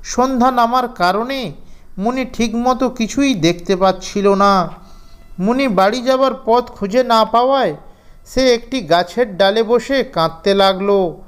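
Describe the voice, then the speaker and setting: Slow, high-pitched male storyteller. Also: clean tone. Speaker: narrator. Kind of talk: scripted children's story narration.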